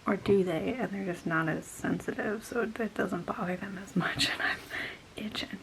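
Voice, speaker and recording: A woman's voice: mid-range voice, moderate pace, vocal fry, fairly bright tone, poor audio. Young woman whispering a gossipy rant. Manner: exasperated, gossipy, filler-heavy with frequent little laughs. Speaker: vlogger. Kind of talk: whispered casual vlog rant. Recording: faint hiss behind the voice.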